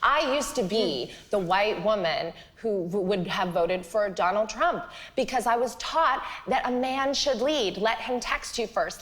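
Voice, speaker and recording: A woman's voice: a little high, a bit bright. Emphatic woman ranting on a comedy panel. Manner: emphatic, building rhetorical rants. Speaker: panel guest. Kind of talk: impassioned comedic argument. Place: TV studio with audience.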